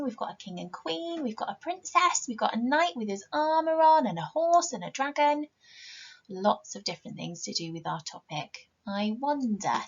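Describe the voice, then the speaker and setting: Expressive, high-pitched woman teacher. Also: gravelly, breathy edge, muffled recording. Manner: expressive, addressing young children. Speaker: primary school teacher. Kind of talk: children's story read-aloud with questions to listeners.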